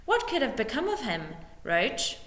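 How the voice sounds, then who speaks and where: A woman's voice: a little high, wildly swooping pitch, measured pace, fairly bright tone, pitched above the performer's own voice, full character voice. A woman voicing a scheming, bullying male lord in a storybook reading, with snarled orders and oily false sympathy. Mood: smoothly lying. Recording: echoey room with long reverb.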